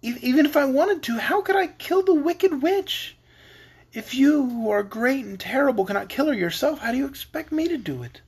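Storybook little girl, acted by a man: meek, earnest, pleading, high and lilting. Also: big pitch swings, brisk, breathy edge, exaggerated voice. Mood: sad, pleading. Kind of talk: storybook character reading.

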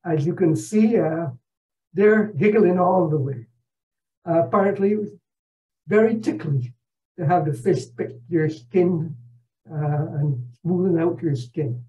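Bright-voiced older man presenting, dull call audio. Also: a little high, a little slow. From Barbados. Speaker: presenter, retired professor. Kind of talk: narrated travel slideshow talk. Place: indoor room at home, on a video call.

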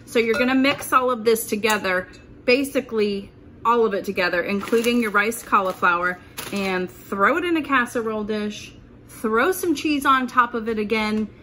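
A woman's voice: mid-range voice, pushed and bright, a little hiss or hum. A woman talking informally and thinking aloud as she hosts a casual meal-prep demonstration at home. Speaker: host of a keto cooking channel. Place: indoors at home, kitchen work surface.

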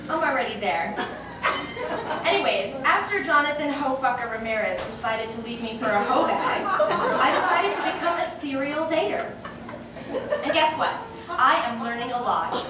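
A young woman performing a comedic stage reading as a bitter, boastful, oversharing jilted woman, sassy and matter-of-fact with emphatic pauses. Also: sits high, distant mic. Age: young adult. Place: small bar or cafe stage with audience. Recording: echoey noisy room, heard from afar.

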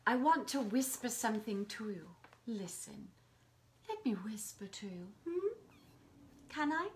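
Slow, coaxing mistress acted by a woman. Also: sits high, big pitch swings. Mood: seductive, coaxing. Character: coaxing, possessive mistress, pleading with her lover. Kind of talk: stage play performance.

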